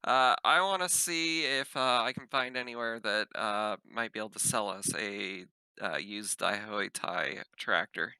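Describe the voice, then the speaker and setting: A man's voice: lowish voice, a little slow, bright, forceful tone, clear, resonant tone, dry recording. A man chatting casually as a player in a tabletop game, matter-of-fact and ready to bargain.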